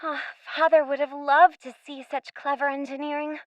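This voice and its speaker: A woman's voice: high-pitched, little pitch movement, moderate pace, fairly bright tone, no room sound, muffled recording. A woman playing a curious, polite and sensible young girl in a radio play, speaking earnestly with quaint, old-fashioned turns of phrase.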